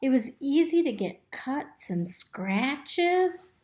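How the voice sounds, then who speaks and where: Woman reading a kids picture book out loud, kinda high voice, slow n expressive, echoey room. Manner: expressive, instructive, speaking simply to children. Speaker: storybook reader. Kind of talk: reading a picture book aloud to young children, pausing to ask questions. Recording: roomy, echoey indoor recording.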